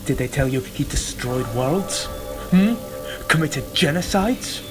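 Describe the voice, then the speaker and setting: A man playing a sinister, taunting villain in an audio drama, insinuating and hypnotic, slipping into breathy whispers. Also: on the high side, lively intonation, unhurried, over music. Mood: sly, taunting. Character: sinister, taunting male villain known as the teacher.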